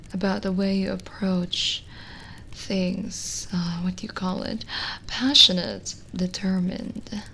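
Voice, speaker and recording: A woman playing a lonely, gentle, teasing witch reading palms in a soft-spoken, whispering ASMR roleplay. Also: mid-range voice, creaky, slight background noise, full character voice. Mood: curious, soothing. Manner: slow, hesitant, intimate, teasing.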